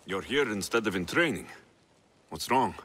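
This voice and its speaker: A man's voice: fairly low pitch, lively intonation, moderate pace, fairly bright tone, husky. An actor playing a brooding Greek mercenary in his thirties in a video game, intense and resolute. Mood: concerned. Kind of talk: video game cutscene acting.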